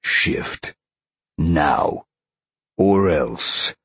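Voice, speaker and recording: Male narrator as impatient lion-man, deep and very rough, growling, sardonic, blunt audiobook character. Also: lively intonation, extremely slow, fairly bright tone, heavily acted. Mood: menacing.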